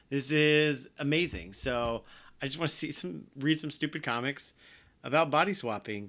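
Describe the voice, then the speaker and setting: A man co-hosting a podcast, talking in a loose, rambling way. His voice has a bright, forceful edge. His sentences tend to sink quieter as they finish. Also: mid-range voice. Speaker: podcast co-host. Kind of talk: casual chat.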